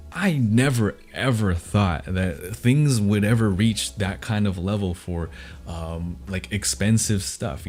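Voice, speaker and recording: A man's voice: on the low side, a little mellow. A young American man chatting casually and telling stories to viewers in a laid-back, slangy, self-deprecating way. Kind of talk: casual rambling chat, storytelling.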